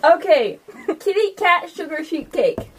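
A woman's voice: very high voice, lively intonation, a little slow, soft background music. A woman hosting a tabletop roleplaying podcast, narrating casually as game master. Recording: clearly noisy room recording with clicks.

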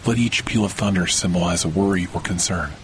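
Male, deep voice, measured and soothing guided-meditation read, soft rain and thunder underneath. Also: a little hiss or hum. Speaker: podcast host, meditation guide. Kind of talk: scripted guided sleep meditation. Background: rain and distant thunder.

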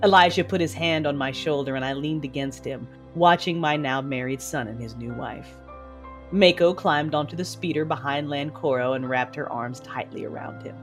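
A woman playing a proud, imperious mother in a sci-fi audio drama, narrating formally and deliberately. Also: deep voice, soft background music, close to an everyday voice. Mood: proud, reflective.

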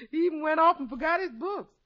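A lively, high-voiced household cook. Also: female voice, a little fast. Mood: good-natured. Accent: American. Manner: folksy, deferential. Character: good-natured, outspoken female household cook. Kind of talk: 1950s radio comedy acting. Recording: muffled 1950s radio recording.

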